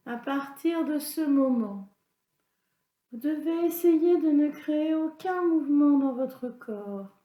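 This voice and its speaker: A woman leading a guided yoga nidra relaxation as an instructor, in a calm, soothing, instructional manner. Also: high voice, slow pace. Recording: roomy, echoing sound.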